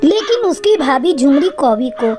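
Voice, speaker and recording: A woman's voice: high-pitched, very animated, moderate pace, over music. A woman narrator reading a scripted children's cartoon story.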